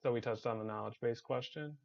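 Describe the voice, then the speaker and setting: A man hosting a casual online community discussion, hesitant with many ums and restarts, his voice slightly raspy. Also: medium pitch, a little fast, dull audio. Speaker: community staff host.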